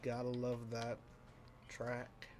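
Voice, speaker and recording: A man talks over his racing gameplay in a loose, rambling way, poking fun at himself and letting sentences trail off. His voice sits at a middle pitch and comes out flat and a little nasal. Faint background noise sits under the recording. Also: slow. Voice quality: sounds flat and a bit nasal, by his own account. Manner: rambling, self-deprecating, drifts into mumbles. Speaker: let's play host. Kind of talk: casual gameplay commentary.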